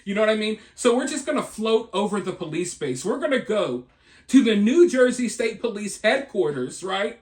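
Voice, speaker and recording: A man's voice: high voice, sing-song, moderate pace. A man hosting an opinionated news commentary, speaking emphatically with repeated points and rhetorical questions. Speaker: video host and commentator.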